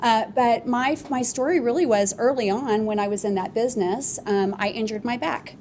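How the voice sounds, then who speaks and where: A woman founder on a live podcast panel, telling her business story at length in a relaxed, rambling way with many fillers. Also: a little high, moderate pace, a little hiss or hum. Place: office podcast studio with live audience.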